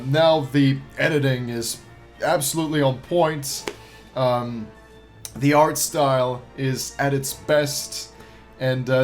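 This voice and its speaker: A man hosting a ranking video with casual, unscripted review commentary, repeating himself for emphasis in a hesitant way. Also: medium pitch. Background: background music.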